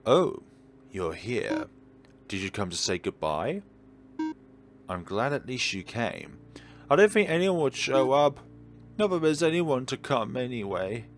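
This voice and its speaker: Man reading as a weary ancient god, animated delivery. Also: mid-range voice, a little slow, long pauses, voice pushed up. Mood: wistful, teasing. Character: weary, ancient, wistful godlike being, gently teasing. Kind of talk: visual novel dialogue read aloud. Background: faint background game music.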